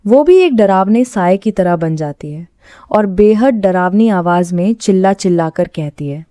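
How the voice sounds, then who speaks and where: A female narrator tells a scripted horror tale. Her voice is dark and mellow at a middle pitch. The recording is dry and close, without room echo. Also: expressive, measured pace.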